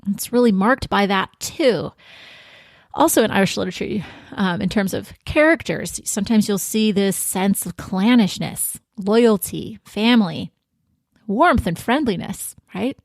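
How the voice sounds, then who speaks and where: An American woman hosting a podcast, giving a prepared literary talk in a reflective, deliberate way with emphatic phrasing. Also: mid-range voice, expressive, gravelly, no room sound, studio quality. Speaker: podcast host.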